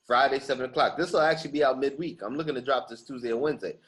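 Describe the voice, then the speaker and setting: Male podcast host, rapid delivery, casual and slangy, repeats lines for emphasis. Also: mid-range voice. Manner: animated street slang, repeats phrases for emphasis.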